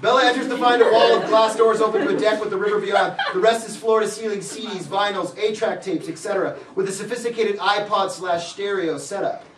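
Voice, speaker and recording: A man's voice: high-pitched, fairly quick, hardly any pauses, noisy background. A man narrating stage directions in a comic dramatic script reading. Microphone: camera microphone from a distance. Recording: echoey room, distant mic, crowd chatter.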